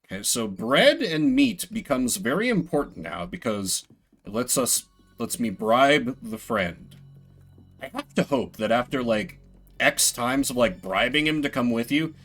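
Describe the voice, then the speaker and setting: A man's voice: mid-range voice, very animated, a little slow, a bit bright, soft background music. Man rambling playfully over a video game. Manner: rambling, playful mock-grandiose asides. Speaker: solo video game streamer. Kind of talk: casual gameplay commentary, voicing game text.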